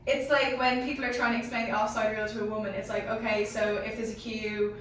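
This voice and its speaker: A woman's voice: a little high, flat delivery, fast talker. A woman explaining her points informally in a casual group discussion. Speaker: panelist in a sports team discussion. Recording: very echoey room, distant mic, faint noise.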